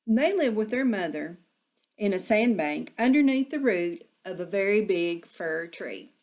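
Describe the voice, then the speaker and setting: A woman narrating a children's storybook expressively, with deliberate phrasing suited to young listeners. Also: mid-range voice, expressive, a little mellow.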